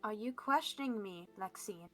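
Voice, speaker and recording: A domineering queen mother, cold and commanding, as a woman puts her on. Her delivery is stern and clipped, with a bright, tense edge. It sounds like a dramatic reading of game dialogue. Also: mid-range voice, lively intonation, moderate pace, soft background music, lightly acted. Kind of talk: reading game lines aloud.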